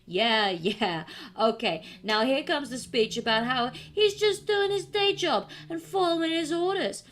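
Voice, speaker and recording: Woman acting an indignant, sarcastic activist, animated pitch. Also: measured pace. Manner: sarcastic, preachy, emphatic. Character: indignant anti-smoking activist berating a tobacco seller. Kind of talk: film monologue acting.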